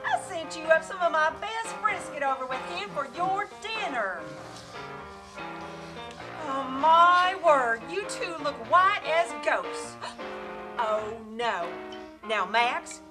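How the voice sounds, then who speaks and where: A woman's voice: high voice, expressive, slow pace. A woman playing an indignant restaurant owner and protective mother in an amateur Western radio play, scolding and exasperated. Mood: puzzled, alarmed. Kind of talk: amateur old-time radio play acting. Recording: music behind the voice.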